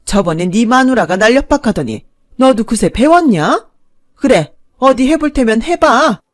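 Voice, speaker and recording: A woman voicing a shameless, nagging elderly stepmother-in-law who scolds loudly. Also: on the high side, lively intonation, moderate pace, lightly acted. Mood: defiant. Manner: nagging, wailing, self-pitying.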